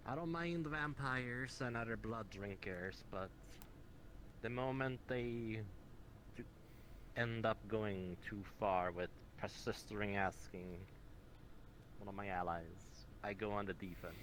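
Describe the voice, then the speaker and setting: A man playing a measured, protective doctor with strange powers in an improvised online roleplay, speaking formally. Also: fairly low pitch, fairly bright tone. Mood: calm, assured. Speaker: roleplay player. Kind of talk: improvised in-character roleplay chat. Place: virtual reality voice chat. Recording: noisy online voice chat.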